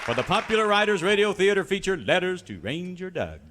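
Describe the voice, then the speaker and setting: An actor playing a grand, showy announcer for an old-time western radio show. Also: male voice, high-pitched, big pitch swings, brisk. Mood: grand, excited. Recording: loud crowd noise, live stage.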